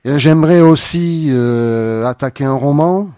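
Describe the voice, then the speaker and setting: A man guesting on a radio show, answering thoughtfully with frequent pauses and fillers. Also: a little high, dark tone, no echo, studio quality. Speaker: playwright guest. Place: radio studio.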